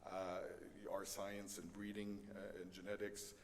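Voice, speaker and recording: A middle-aged man with a French Canadian accent gives a conference presentation in a halting way, with many fillers and light self-deprecating humor. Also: low voice, completely flat delivery. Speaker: conference speaker. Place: conference hall. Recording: reverberant conference hall with faint noise.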